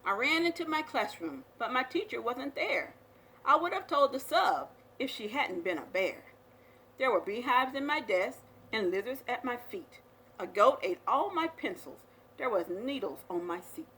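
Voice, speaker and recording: A woman reading a children's picture book aloud as a storyteller, with expressive, dramatic delivery. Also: on the high side, moderate pace, a little hiss or hum, rough recording.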